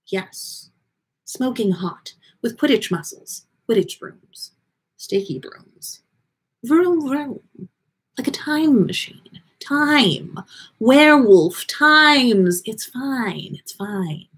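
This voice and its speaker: A melodramatic fortune-teller as a woman reader puts her on, dreamy and easily flustered. Her slightly husky voice swoops up and down, halting and trailing off slowly, in storybook style. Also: a little high, very animated, fairly bright tone, full character voice. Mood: entranced, confused.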